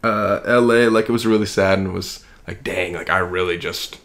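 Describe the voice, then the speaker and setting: A man's voice: deep voice, steady tone, moderate pace, fairly bright tone. A man in his early twenties with an American accent, talking casually and reflectively in a rambling, informal way as a podcast co-host. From Kansas, United States. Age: early 20s. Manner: rambling, informal, heavy use of "like".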